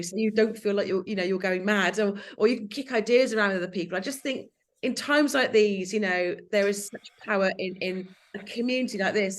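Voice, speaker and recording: A woman hosting an online webinar, a little croaky, chatty and given to repeating herself. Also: mid-range voice. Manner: chatty, repeats words and restarts often. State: croaky after illness. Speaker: webinar host. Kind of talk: casual webinar welcome. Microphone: video call.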